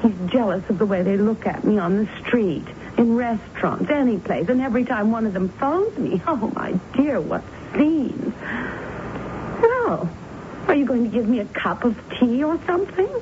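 An actress playing a flirtatious, self-pitying sister who coaxes and pleads, in a 1970s radio drama. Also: female voice, fairly high pitch, animated, moderate pace, natural voice. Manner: coaxing, pleading, breezy. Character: vain flirtatious married woman, self-pitying and wheedling. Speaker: the narrator's sister. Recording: muffled old radio broadcast with faint hiss.

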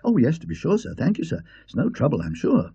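A man's voice: fairly high pitch, huge pitch swings, quick pace, warm tone, breathy edge, no echo, voice pushed up, exaggerated voice. A man voicing a deferential young hotel maid who speaks in humble, ungrammatical servant's talk. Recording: dull lo-fi recording.

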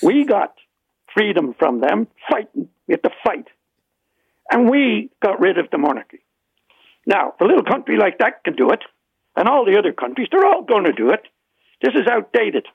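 A man's voice: sits high, sing-song, moderate pace, vocal fry, pauses often, breathy edge, no room sound. A man with an Irish accent calling in to a radio talk show, speaking emphatically and insistently. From Ireland. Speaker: caller. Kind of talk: phone-in call. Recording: tinny phone line.

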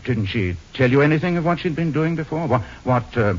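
Male actor as suave detective, husky, lively intonation, urbane, droll, unflappable, 1940s radio drama, dull old recording. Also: mid-range voice, a little fast, natural voice. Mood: polite, inquiring. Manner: urbane, droll, dryly teasing. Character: suave, wry, unflappable male amateur sleuth.

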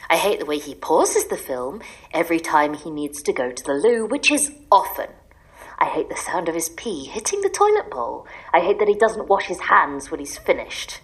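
Exasperated wife in an audio drama; bitter ranting, very expressive intonation, pleading edge. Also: female voice, fairly low pitch, unhurried. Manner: ranting in long bitter lists, sometimes dropping to a secretive whisper. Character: exasperated, desperate wife sick of her husband, pleading to disappear.

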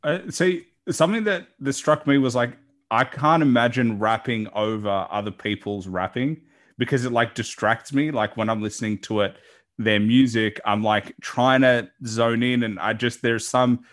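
A man's voice: mid-range voice, fairly even intonation, normal speed, a bit bright. A man hosting a casual interview, asking informal, wordy questions peppered with "like". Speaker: podcast host interviewing a guest.

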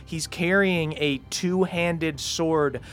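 Higher-voiced man running a tabletop game. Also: fairly even intonation, slow pace, music in the background. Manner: informal, slangy. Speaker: game master. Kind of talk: casual improvised tabletop game narration.

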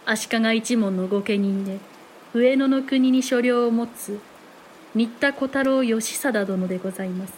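A woman's voice: a little high, a little slow, a little mellow, a little hiss or hum, close to an everyday voice. A woman playing a polite, courtly narrator, delivering formal scripted narration for a Japanese historical audio drama. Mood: composed.

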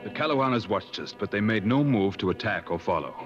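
An actor playing a grave adventurer who narrates a perilous expedition in measured, literary 1950s radio style. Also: male voice, fairly low pitch, expressive, natural voice. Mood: grave, suspenseful. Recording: muffled old radio broadcast recording. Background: dramatic music.

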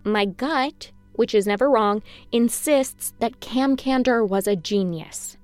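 An actress playing a blunt, opinionated fan club head being interviewed, wry, emphatic and self-assured. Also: female voice, a little high, slow, a little mellow, no room sound, soft background music. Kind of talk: conversational interview in audio drama.

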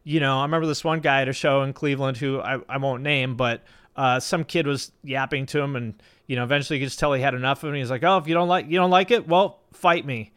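A man's voice: medium pitch, steady tone, fast talker, a bit bright, clean tone. A man speaking as a podcast host in a casual, conversational way, peppered with "like" and "you know". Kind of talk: casual interview chat and sponsor read.

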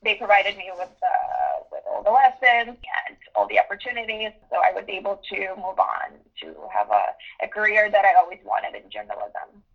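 A woman in her twenties, from Ukraine, answering interview questions with many pauses and restarts. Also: medium pitch, animated, vocal fry, dull audio. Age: 20s. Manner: thoughtful, with many ums and restarts. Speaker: guest journalist.

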